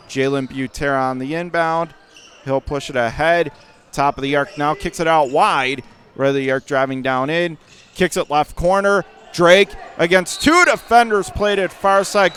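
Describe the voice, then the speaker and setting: A man with an American accent and a fairly high voice commentates on a basketball game. He delivers clipped, radio-style play-by-play that lifts in excitement as the plays develop. He is speaking from an indoor school gym. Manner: rattles off clipped phrases, rising to excited calls on plays.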